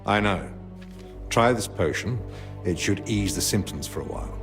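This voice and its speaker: Calm royal healer, low male voice, sober, measured, game cutscene with music. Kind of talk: video game cutscene acting.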